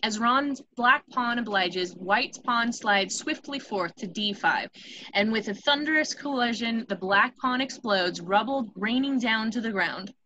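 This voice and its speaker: A woman's voice: a little high, animated, measured pace, bright, forceful tone, lo-fi sound, slight background noise. A woman reading stage directions as the narrator of a scripted screenplay table read.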